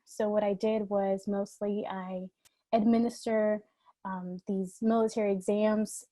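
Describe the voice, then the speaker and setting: A woman's voice: medium pitch, dark tone, no echo. Woman in her 30s chatting on a panel, friendly, laughs a lot, dry sound. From Ecuador, raised in New York City. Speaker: alumni panelist. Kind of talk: casual panel talk.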